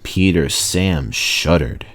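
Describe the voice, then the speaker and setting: A man reading a children's story aloud as narrator, in expressive scripted narration. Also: deep voice, slow pace. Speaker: narrator, reader of children's stories.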